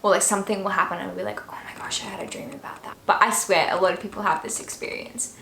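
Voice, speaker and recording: A young Australian woman chats through viewer questions in an easy, rambling way, with plenty of fillers and trailing asides. Her voice sits at a middle pitch. The room is echoey, with faint noise underneath. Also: fairly even intonation, fast talker, gravelly, bright, forceful tone. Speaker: YouTuber. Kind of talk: casual Q&A vlog.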